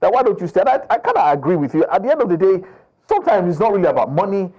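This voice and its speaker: A male sports show host, briskly affirming and summing up the guest's points. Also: high voice, sing-song, a little mellow, lo-fi sound. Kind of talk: broadcast sports talk.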